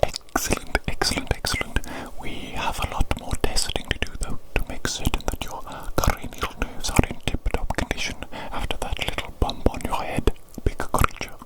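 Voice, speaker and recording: A woman performing a whispered ASMR medical roleplay as an attentive, meticulous doctor, with a breathy, deliberate delivery that repeats words and phrases softly. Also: low-quality recording.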